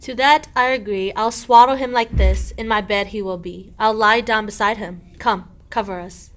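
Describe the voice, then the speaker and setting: A woman acting a sharp-tongued, cunning peasant wife in a rhyming comic radio play, with a scolding, theatrical delivery. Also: medium pitch, steady tone, normal speed, natural voice. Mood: scheming. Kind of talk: verse radio play acting of a medieval comedy.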